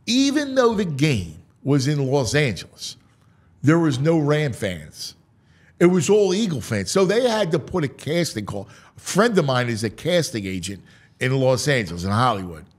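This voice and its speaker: A man hosting a sports talk show, mixing dramatic, drawn-out delivery with casual, offhand asides. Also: mid-range voice, sing-song.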